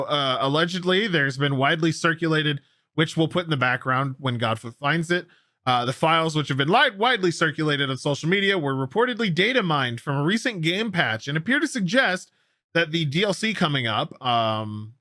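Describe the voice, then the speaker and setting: Male gaming news host: forceful bright tone, slightly high voice, opinionated and casual, repeats for emphasis. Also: dry recording, clean studio sound.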